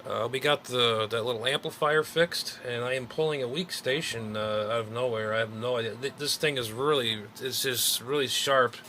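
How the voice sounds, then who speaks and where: A man's voice: medium pitch, moderate pace, few pauses. A man giving a casual, off-the-cuff, rambling demo of radio gear in an informal way, recorded on a handheld camera. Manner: rambling, informal, mild swearing. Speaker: amateur radio hobbyist demonstrating gear. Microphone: handheld camera microphone. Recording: loud radio static and hiss, handheld camera.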